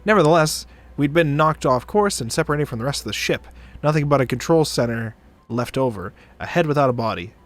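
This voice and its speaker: A man reading a visual novel aloud as a streamer, with expressive narration. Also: medium pitch, brisk. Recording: dry close mic, background music.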